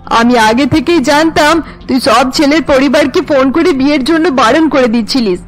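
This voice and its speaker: A harsh middle-aged cartoon mother, acted by a woman, obsessed with honour. Her voice is high and scolding, pushed into melodrama. Light music plays behind her. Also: normal speed, close to an everyday voice, low-quality recording. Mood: angry, scolding. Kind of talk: cartoon voice acting.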